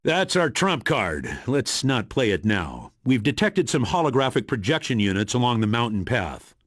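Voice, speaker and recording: An actor playing an authoritative spy agency commander in a video game, giving orders in a gruff, commanding manner. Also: male voice, medium pitch, sing-song, normal speed, a bit bright, dry recording. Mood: grave briefing. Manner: commanding, briefing, dry. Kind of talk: video game mission briefing.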